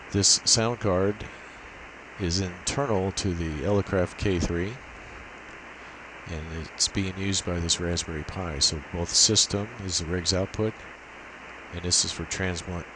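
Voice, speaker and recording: A man with a deep, low-pitched voice talks through a technical demonstration. He explains methodically, one step at a time, without a script. A clear layer of hissy noise sits behind his voice. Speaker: amateur radio demonstrator. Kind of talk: unscripted technical walkthrough. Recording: steady hiss and noise behind voice.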